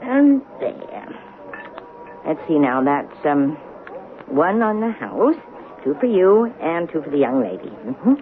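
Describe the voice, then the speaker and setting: An actress playing a sly, sweet-talking old church lady in her eighties in a radio drama, genteel, coy and gently teasing. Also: deep voice, sing-song, takes it slowly, full character voice. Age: 80s. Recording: muffled, noisy 1970s radio broadcast.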